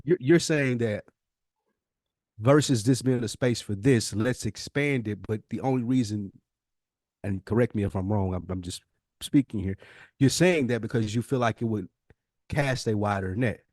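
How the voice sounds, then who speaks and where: A man's voice: lowish voice, moderate pace, a little mellow, dry recording. An American man co-hosting a podcast, talking casually in long, emphatic, opinionated explanations. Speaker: podcast co-host. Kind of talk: casual conversational discussion.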